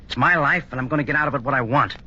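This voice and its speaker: An actor playing a stubborn, defiant young man in a 1950s radio drama, brisk and intense. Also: medium pitch, bright, forceful tone. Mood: bitter, defiant. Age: young adult. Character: bitter, defiant young minister's son. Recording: muffled old radio recording, faint noise.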